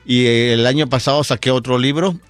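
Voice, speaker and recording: A middle-aged man from Guatemala, telling his story as a radio guest, unhurried with many fillers. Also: medium pitch, clean tone, soft background music. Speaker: storyteller and event producer, guest. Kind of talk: radio interview. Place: radio studio.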